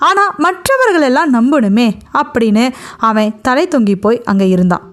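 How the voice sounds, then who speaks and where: A woman's voice: on the high side, big pitch swings, moderate pace, slight background noise. A woman telling a story as a narrator, in a dramatic spoken style.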